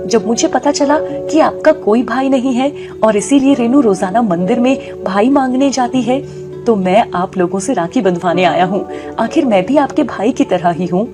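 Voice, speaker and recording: A woman's voice: a little high, moderate pace, music in the background, lightly acted. A woman voicing a kind, reassuring young man who acts as a brother in a moral story, speaking earnestly.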